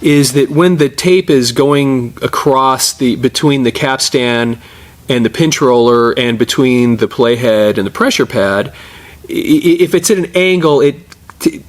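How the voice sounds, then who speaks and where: Middle-aged male technician, medium-pitched voice, informal step-by-step demo delivery while working with his hands. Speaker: tape repair and digitizing technician, presenter. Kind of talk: casual hands-on tutorial.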